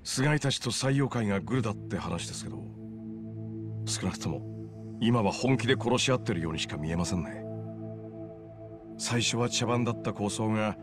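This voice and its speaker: A man plays an earnest, loyal informant in his thirties from a video game, talking things through in a fairly low voice. He explains patiently, with music underneath. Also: expressive, moderate pace, pauses often, lightly acted. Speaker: loan business owner. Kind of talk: video game cutscene dialogue. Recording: game audio with music behind.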